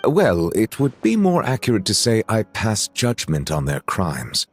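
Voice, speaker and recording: A man's voice: lowish voice, huge pitch swings, measured pace, soft background music. A man voicing a dignified, courteous dragon judge in a video game, speaking formally and with awkward hesitation. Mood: earnest, measured.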